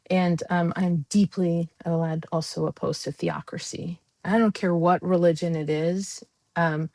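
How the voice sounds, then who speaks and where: Podcast guest, woman, earnest and fervent, low-pitched, dry close sound. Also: darkish tone, no echo. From United States. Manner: earnest and impassioned, frequent ums and restarts. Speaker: guest, democracy advocate. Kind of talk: conversational podcast interview.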